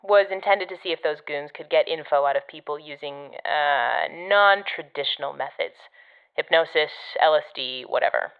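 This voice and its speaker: Audio drama: sardonic female agency operative, low and casual, guiding over a dull radio link. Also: normal speed, clear, resonant tone. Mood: dry, sardonic. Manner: dry, sarcastic, casual. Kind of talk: audio fiction podcast acting.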